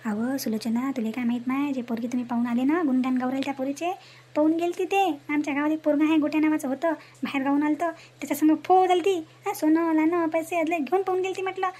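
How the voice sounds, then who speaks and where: A woman's voice: high voice, steady tone, quick pace, dark tone, natural voice. A woman voicing a gossipy, indignant village woman in a comedy cartoon, scolding and chatty in a rural Varhadi Maharashtrian accent. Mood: indignant gossip. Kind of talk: animated comedy cartoon dialogue in rural dialect.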